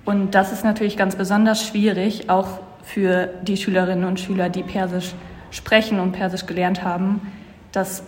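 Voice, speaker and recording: A woman, a math teacher, answering interview questions in a relaxed way with fillers and restarts. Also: medium pitch, normal speed, slight background noise.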